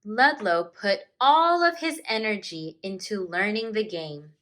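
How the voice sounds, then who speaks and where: Bright-voiced, animated woman reading a children's story. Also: medium pitch. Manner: deliberate, dramatic emphasis for young listeners. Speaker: teacher reading a picture book aloud.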